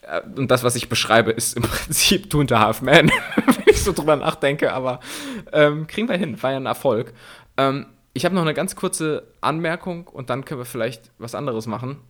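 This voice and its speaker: A young man co-hosting a podcast, chatting casually, animated and quick to laugh. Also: mid-range voice. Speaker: podcast co-host. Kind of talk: casual chat.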